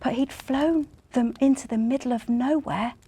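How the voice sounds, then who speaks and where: A woman's voice: high-pitched, normal speed, dark tone, slight background noise. A woman reading a children's story expressively.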